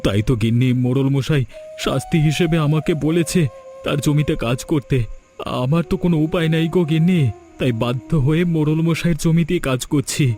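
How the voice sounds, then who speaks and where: A man's voice: a little high, brisk, warm tone, soft background music, lightly acted. A man voicing a humble, poor farm labourer and devoted family man in a cartoon folk tale. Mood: plaintive, ashamed.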